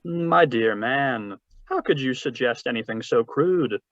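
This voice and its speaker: A man's voice: medium pitch, expressive, measured pace, no echo, close to an everyday voice. A man playing a suave, wordy older crime boss with pompous, florid politeness in a 1940s-style radio drama over a video call. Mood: smug, commanding. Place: remote read-through over a video call. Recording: muffled, dry video-call audio.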